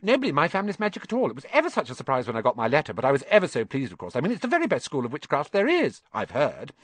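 A man voicing a bossy, eager, know-it-all young schoolgirl in a children's audiobook, speaking in a rapid, emphatic rush. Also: high-pitched, sing-song, fairly bright tone, no room sound, voice pushed up. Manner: rapid, breathless, emphatic delivery.

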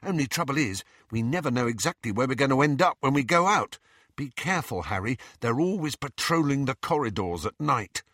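A man acts a cautious, helpful teenage boy in an audiobook. He speaks in a raised, bright voice and moves along briskly. The recording is dry. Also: mid-range voice, lively intonation, no echo, pitched above the performer's own voice. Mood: helpful, cautioning. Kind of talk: audiobook character voice.

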